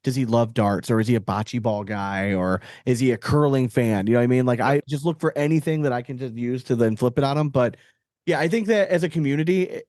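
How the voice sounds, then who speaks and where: A man's voice: mid-range voice, a little fast, a little mellow, dry recording, clean studio sound. A man co-hosting a podcast in casual banter, swearing freely and airing blunt opinions. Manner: profane, opinionated. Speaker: podcast co-host.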